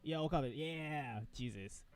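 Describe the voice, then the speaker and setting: A man's voice: fairly high pitch, measured pace, clean tone, faint music behind. A man co-commentating on a let's play in a loose, slangy, conversational way. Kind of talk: casual chat.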